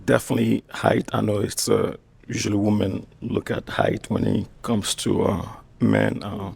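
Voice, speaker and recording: A man's voice: on the low side, flat delivery, normal speed, gravelly, darkish tone, husky. A young man from Chad with a French accent, a dating show contestant who talks hesitantly and restarts his sentences. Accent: Chadian French. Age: young adult. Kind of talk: casual chat.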